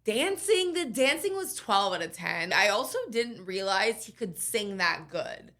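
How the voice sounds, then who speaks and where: Young woman, raspy, excitable, laughs a lot, forceful bright tone. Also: medium pitch, measured pace. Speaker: podcast co-host. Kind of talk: casual chat.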